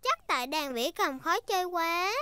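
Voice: very high voice, animated, normal speed, bright, forceful tone, slight background noise, exaggerated voice. A female performer voicing a cheerful, curious little girl pig in a children's cartoon, eager and childlike.

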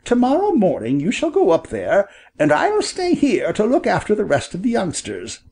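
A man plays a confident father quail in a children's storybook. He explains things in an earnest, reassuring way, with a raised pitch and very lively swings. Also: normal speed, breathy edge, full character voice. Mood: decisive, protective.

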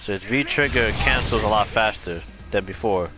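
Rambling low-voiced man explaining gameplay. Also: moderate pace, music in the background. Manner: rambling, self-correcting. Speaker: video game tutorial creator. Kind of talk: casual unscripted gameplay explanation.

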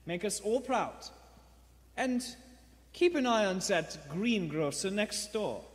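An actor playing a self-satisfied, pompous saboteur giving clipped orders in a radio play. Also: male voice, high voice, lively intonation, takes it slowly. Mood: smug, conspiratorial. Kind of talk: stage radio play acting. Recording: echoey theatre hall.

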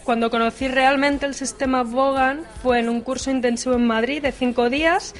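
A woman's voice: high voice, fairly even intonation, normal speed. A woman answering casting interview questions with upbeat enthusiasm into a handheld mic in an office hallway. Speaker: casting applicant.

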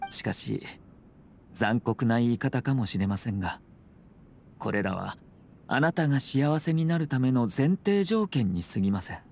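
An actor playing a polite young clan head in an anime game, speaking in a measured, formal way. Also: male voice, medium pitch, lively intonation, vocal fry, darkish tone, slight background noise. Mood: solemn, calm. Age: young adult. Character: composed, courteous young noble clan head. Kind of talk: Japanese anime game voice acting. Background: music.

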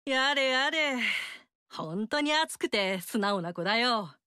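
A woman's voice: on the high side, expressive, takes it slowly, sharp tone, smooth, dry recording, heavily acted. An actress voicing a brash, motherly fire-goddess warrior woman in a studio game scene, talking with bold, teasing, big-sister swagger. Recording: dry studio recording.

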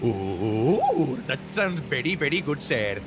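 A man's voice: mid-range voice, sing-song, takes it slowly. A man voicing an overly polite customer with a put-on Indian accent, calling everyone sir, over noisy stream audio. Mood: polite, eager. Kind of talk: roleplay phone call in a game.

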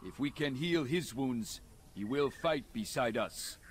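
Earnest alien healer played by a man, expressive. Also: medium pitch, a little hiss or hum, close to an everyday voice. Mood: earnest, compassionate. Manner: reassuring, formal, measured phrasing. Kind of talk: video game voice acting.

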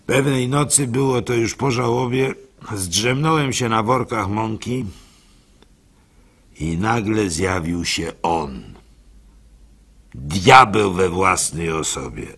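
Man, dramatic storyteller, gravelly and husky, theatrical, unhurried, first-person literary narration. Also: lowish voice. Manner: theatrical, expressive, varied in intensity.

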